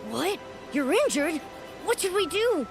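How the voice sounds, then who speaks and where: A woman voicing a small, chatty, anxious companion creature with an expressive manner in a video game cutscene. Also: very high-pitched, measured pace, over music, exaggerated voice. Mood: alarmed.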